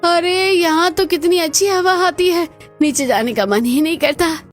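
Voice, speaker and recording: A woman's voice: very high-pitched, normal speed, faint music behind. A woman voicing housewives and mothers-in-law in a family cartoon, chatty and exclamatory. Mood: contented. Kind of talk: scripted cartoon dialogue.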